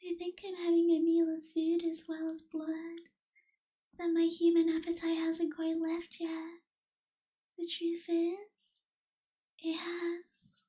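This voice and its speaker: A woman playing a gentle, caring young vampire in an intimate ASMR roleplay, soft-spoken and breathy, with tender hesitations. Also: very high-pitched, monotone, slow pace. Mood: grateful, gentle.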